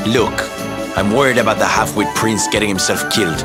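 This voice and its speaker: Guy doing flamboyant teasing circus performer for a game, arch and theatrical, loud music under it. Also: lowish voice, fairly quick, natural voice. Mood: sardonic. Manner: camp, arch, drawling. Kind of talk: video game cutscene voice acting.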